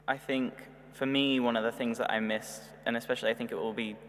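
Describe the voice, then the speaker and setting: A man's voice: mid-range voice, steady tone, a little fast, a bit bright. A young man from abroad, a university student giving unscripted advice on a panel to new students. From outside the UK. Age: young adult. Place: large echoing hall. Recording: reverberant hall, humming background noise.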